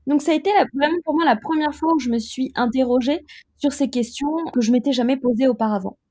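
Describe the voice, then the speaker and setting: A woman in her thirties from Côte d'Ivoire, recounting her own experience as an interview guest in a reflective, measured way with frequent hesitations. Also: high voice, warm tone. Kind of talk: personal testimony in an interview.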